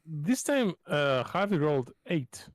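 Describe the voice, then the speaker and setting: A man's voice: a little high, very animated, slow, dry recording, studio quality. A man chatting casually as a player in a tabletop roleplaying game.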